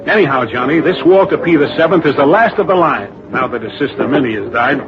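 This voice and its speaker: A man's voice: on the high side, lively intonation, a little fast, close to an everyday voice. An actor playing an exasperated, penny-pinching insurance man in a golden-age radio drama, talking in a fussy, emphatic, complaining way. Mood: explaining. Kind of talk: 1950s-60s radio drama acting. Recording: dull old radio recording, music behind.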